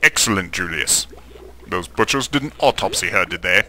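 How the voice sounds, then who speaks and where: A man's voice: low voice, animated, normal speed, sharp tone, slight background noise, heavily acted. A man playing a sinister, commanding mad scientist in a horror radio play, with an imperious, gloating manner. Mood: gloating, demanding.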